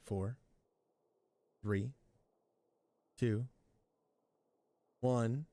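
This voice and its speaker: A woman's voice: extremely low pitch, fairly even intonation, drawn-out words, warm tone, a little hiss or hum. A woman streaming a game, reacting casually with short exclamatory asides. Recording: game music behind the voice.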